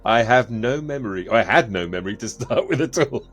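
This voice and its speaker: Quick, lively-toned man. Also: medium pitch, a crowd in the background. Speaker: player in a role-playing game. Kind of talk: casual group chat.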